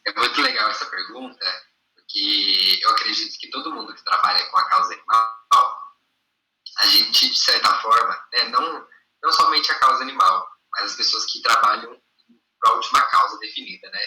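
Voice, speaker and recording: Man in his twenties, lowish bright voice, echoey room. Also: normal speed, recorded from a distance. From interior of São Paulo state, Brazil. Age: 20s. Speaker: guest. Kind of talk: casual interview answers.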